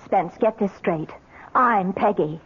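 An actress playing a bitter, defiant middle-aged wife in a 1970s radio drama, biting and scornful. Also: female voice, mid-range voice, slow, slight background noise, natural voice. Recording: muffled 1970s radio recording.

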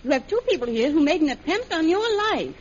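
An actress playing a brash, scheming adventuress with slangy, insolent talk in a 1930s-style American radio serial. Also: female voice, high voice, big pitch swings, brisk, a little mellow. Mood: probing, scornful. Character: brash, scheming, hard-boiled adventuress, a ruthless woman. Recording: muffled, noisy old radio recording.

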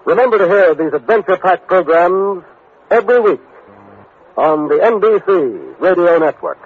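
A man's voice: high voice, moderate pace, a little hiss or hum. An American man announcing in a formal, dramatic 1950s radio style, with emphatic scripted delivery. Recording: tinny old radio broadcast recording.

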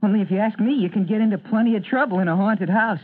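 An actor playing an earnest, anxious schoolboy in an old-time radio drama, speaking haltingly. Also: medium pitch, natural voice. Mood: eager, uneasy. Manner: halting, hesitant. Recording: muffled old radio recording, narrow band.

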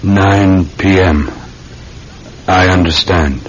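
An actor playing a steady English police sergeant in an old-time radio drama, speaking in a measured, restrained way. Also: male voice, deep voice. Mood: entranced, obedient. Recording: muffled, hissy old radio recording.

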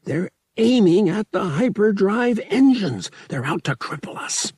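A man voicing a brash young starship pilot in an audiobook, excitable and colloquial. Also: high voice, a little slow, slightly rough, no room sound, clean studio sound, pitched above the performer's own voice, heavily acted. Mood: urgent, alarmed. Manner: urgent, colloquial, exclamatory. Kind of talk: audiobook character voice.

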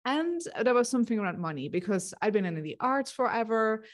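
A middle-aged woman with a Dutch accent speaks as an interview guest. She thinks aloud as she goes, pausing on fillers and restarting her sentences, earnest and reflective in tone. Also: fairly high pitch, lively intonation. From Netherlands. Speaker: guest, success coach. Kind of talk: conversational interview.

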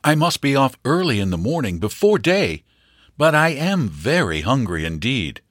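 A cheerful, persuasive young hunter, as a male storyteller puts him on, polite and coaxing. The voice is pitched somewhat above the narrator's own and moves lively. The recording is dry and close. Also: moderate pace, no echo.

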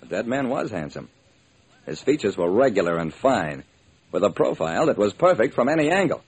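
A man's voice: lowish voice, very animated, brisk, husky, full character voice. An actor playing a hard-boiled private detective, narrating his case with dramatic, wry deliberation in old-time radio style. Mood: matter-of-fact. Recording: noisy old radio recording.

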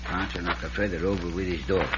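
A man playing an excitable, simple-minded Mexican comic sidekick who speaks broken English and repeats himself, in a radio western. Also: deep voice, fast, crowd noise, lightly acted. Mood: puzzled, eager. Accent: Mexican Spanish. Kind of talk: old-time radio western acting. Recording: noisy, muffled vintage radio recording.

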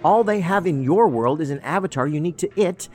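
A man's voice: fairly high pitch, expressive, a little fast, darkish tone, soft background music. A man playing a quirky, tactless federal agent in an audio drama, talking in a rambling, pedantic, matter-of-fact way. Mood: musing, chatty.

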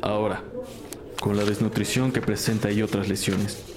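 An actor playing a polite, sober hospital doctor in a crime audio drama, speaking in a formal, clinical manner. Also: male voice, on the low side, flat delivery, moderate pace, background noise, lightly acted. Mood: grave, clinical. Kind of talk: scripted crime drama acting. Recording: studio drama with music underneath.

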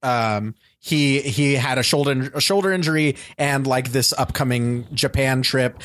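A man's voice: mid-range voice, unhurried, a bit bright, no echo, clean studio sound. A man guesting on a casual podcast, telling a long story with many fillers and restarts. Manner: chatty, lots of fillers and restarts. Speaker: wrestling manager guest.

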